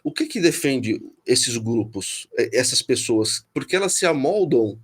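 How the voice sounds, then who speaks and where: A man's voice: medium pitch, animated, husky. A man from São Paulo city with a Paulistano Brazilian Portuguese accent, a guest in a political interview, thinking aloud and often restarting sentences. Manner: thoughtful, restarts often, pauses to find words.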